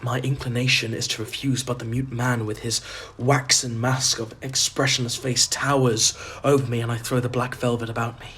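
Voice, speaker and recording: Man acting an uneasy gothic narrator, even pitch. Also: normal speed, hardly any pauses, slight background noise, natural voice. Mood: dread-filled narration. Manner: hesitant, faltering, apprehensive. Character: uneasy male narrator drawn into a sinister festival. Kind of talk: first-person gothic horror audio drama narration.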